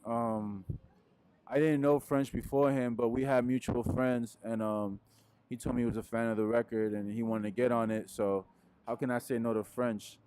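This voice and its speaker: A man's voice: medium pitch, steady tone, moderate pace, darkish tone, smooth. Laid-back young man with handheld mic. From Peru. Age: young adult. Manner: laid-back, rambling, frequent fillers. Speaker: musician guest. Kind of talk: casual interview answers. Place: indoors in front of a wooden wall.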